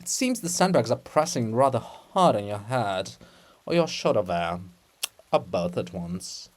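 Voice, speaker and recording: A man's voice: on the low side, very animated, unhurried. A man voicing an irritable, sarcastic female monument in a dramatic reading of a farce, scolding and biting. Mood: sarcastic, threatening.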